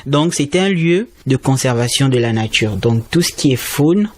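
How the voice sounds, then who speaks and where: A man's voice: animated, moderate pace, darkish tone, slight background noise. A man, a student trainee explaining conservation as a radio interview guest, speaking emphatically. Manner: explanatory, emphatic with rising pitch.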